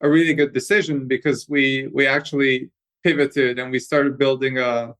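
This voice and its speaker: A man talks through his company's story at an ordinary pitch. He explains things fluently and at length, sometimes repeating a word as he restarts. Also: fairly bright tone. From Hungary. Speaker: company co-founder and guest. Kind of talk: conversational interview answers. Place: company office.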